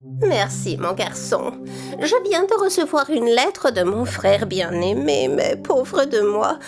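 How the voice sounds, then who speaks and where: A wicked witch passing herself off as a frail old lady in a cartoon. Her voice is high and swings widely, with slow, theatrical, falsely sweet phrasing over clear background music. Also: very animated, full character voice. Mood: feigning frailty.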